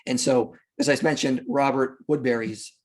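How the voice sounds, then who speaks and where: A man with a husky voice at a medium pitch talks as a podcast guest. He explains history and religion conversationally, leaning on repeated phrases to drive his points home. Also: fairly even intonation, moderate pace, a little mellow.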